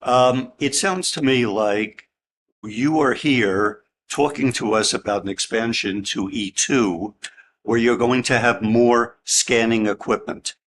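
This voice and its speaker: A man on a planning board, loud-voiced and deliberate as he questions an applicant. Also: medium pitch, a little hiss or hum. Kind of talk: questioning at a public meeting.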